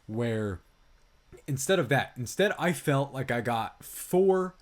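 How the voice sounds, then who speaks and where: A man with a mid-pitched voice chats as a podcast co-host reviewing films. He is emphatic and exasperated, swears freely and keeps the talk loose and conversational. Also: animated, takes it slowly. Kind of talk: casual film review chat.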